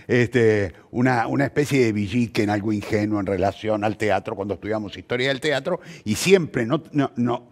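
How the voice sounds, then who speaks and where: Animated older Argentine man, higher-pitched voice. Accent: Rioplatense Argentine Spanish. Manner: didactic, emphatic, rhetorical questions. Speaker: interview guest, theatre practitioner. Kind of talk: reflective explanatory conversation.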